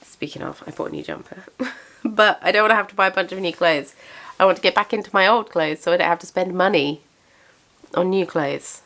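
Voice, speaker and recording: Chatty woman with a gravelly voice. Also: lowish voice, a little fast, a bit bright. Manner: chatty, self-deprecating, with frequent fillers. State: congested from a cold. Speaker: craft business owner and vlogger. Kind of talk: casual chat to camera.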